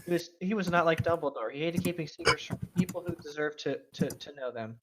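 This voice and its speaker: A man's voice: fairly high pitch, quick pace, heavy background noise. A man casually reading a story aloud and riffing on it as a comedic co-host. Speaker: co-host of a fan fiction reading show.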